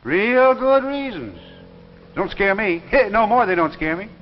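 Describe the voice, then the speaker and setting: An actor playing a crazy, sly old small-town man in a 1950s drama, with a rural American accent and a folksy, rambling, excitable way of talking. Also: sits high, slow. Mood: conspiratorial, excited. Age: older. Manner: folksy, rambling, cackling, repeats himself. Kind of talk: 1950s television drama acting. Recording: noisy, muffled 1950s soundtrack with long reverb.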